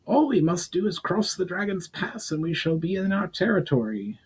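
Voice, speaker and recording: Man voicing a crisp, skeptical female commander. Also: high-pitched, big pitch swings, normal speed, voice pushed up, exaggerated voice. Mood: doubtful. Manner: crisp, questioning, dismissive of superstition. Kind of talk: dramatic fantasy story reading.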